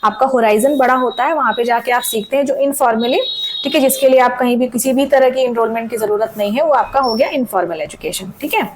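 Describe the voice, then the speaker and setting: A woman with a sore throat teaching an exam-prep lesson, reading questions out slowly and checking in with students often. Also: on the high side, low-quality recording. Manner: frequent "theek hai" checks, reads questions slowly in English. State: sore throat, voice cracks at times. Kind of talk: exam-prep lesson mixing Hindi and English.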